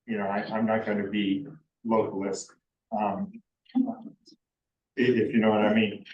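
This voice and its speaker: A man speaking up at a community planning meeting, asking questions with frequent pauses and restarts. His voice carries from a distance across a reverberant meeting room. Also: on the low side, level tone, muffled recording. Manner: hesitant, questioning, many fillers. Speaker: public commenter. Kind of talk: public comment at a community meeting. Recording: echoey room, distant mic.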